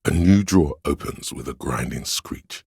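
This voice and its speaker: A man narrating a video game in a scripted, dramatic style, with a gravelly, husky voice and measured delivery. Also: extremely low pitch, animated, darkish tone, no room sound, clean studio sound.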